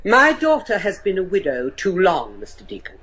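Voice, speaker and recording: A woman's voice: fairly low pitch, wildly swooping pitch, moderate pace, a bit bright. A woman acting a stern, cold, older mistress of a manor in a gothic radio play, formal and imperious. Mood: cold, dismissive.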